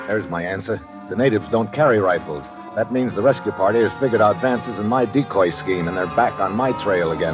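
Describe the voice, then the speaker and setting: An actor as a low-voiced hard-boiled agent, old radio. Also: male voice, close to an everyday voice. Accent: American. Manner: hard-boiled, commanding, sardonic. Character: tough, wisecracking male secret agent hero. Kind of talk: 1950s radio adventure acting, first-person narration. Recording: dull old radio recording with music.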